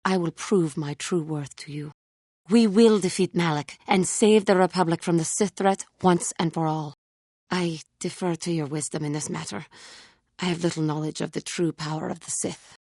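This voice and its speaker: Earnest alien jedi woman from a video game, raspy n a bit low, very formal, dry close sound. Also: dark tone, no echo. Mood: solemn, devoted. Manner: formal, measured diction without contractions, halting when emotional. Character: earnest, solemn alien Jedi woman warrior, devoted and self-doubting. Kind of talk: scripted video game character dialogue.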